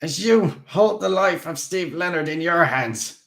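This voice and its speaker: A man reading aloud, voicing a desperate male vampire warrior who shouts urgently while straining to hold on. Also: fairly high pitch, measured pace, pitched above the performer's own voice, natural voice.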